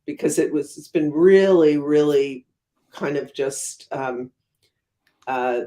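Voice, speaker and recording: A woman's voice: low-pitched, slow pace, mellow. Woman, expert interview guest. Conversational explainer, thoughtful stop-and-start delivery, emphatic on key terms. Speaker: guest academic, economist and author.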